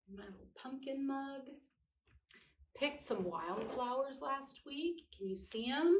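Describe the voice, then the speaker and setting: A middle-aged woman reading aloud to children as a host, with gentle, expressive delivery. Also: medium pitch. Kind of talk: storybook read-aloud with casual chat. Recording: echoey room, distant microphone.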